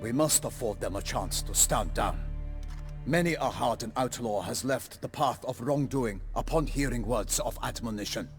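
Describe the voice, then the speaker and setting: A noble, pompous knight errant, played by a male actor, with a mid-pitched voice and grave, oratorical delivery. His archaic speech belongs to a fantasy game, with loud music underneath. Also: normal speed, lightly acted. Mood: earnest, solemn.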